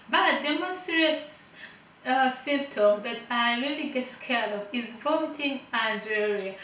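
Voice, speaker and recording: Woman with a high voice in an echoey room. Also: a bit bright, a little hiss or hum. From Uganda. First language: not English; Finnish is her third language. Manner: hesitant with fillers, laughs lightly, chatty asides. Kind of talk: informal health lesson, reading and explaining. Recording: echoey room, voice a bit far from the mic.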